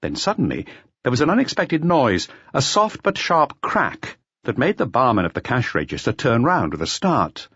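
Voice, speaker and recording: A man's voice: medium pitch, huge pitch swings, moderate pace, a bit bright, slightly rough, dry recording, lo-fi sound. A man giving scripted narration of a detective novel as an audiobook reader.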